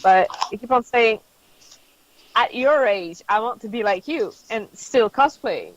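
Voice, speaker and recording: A woman's voice: a little high, animated, measured pace, slight background noise. A woman in her fifties, a guest on a casual panel chat, telling reflective anecdotes at an easy pace. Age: 50s. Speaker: podcast guest. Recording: dull lo-fi sound.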